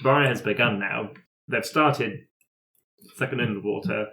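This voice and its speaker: A man playing a tabletop roleplaying game, casually and haltingly improvising what his character does. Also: on the low side, gravelly, pushed and bright. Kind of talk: casual improvised play, describing character actions. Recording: echoey room.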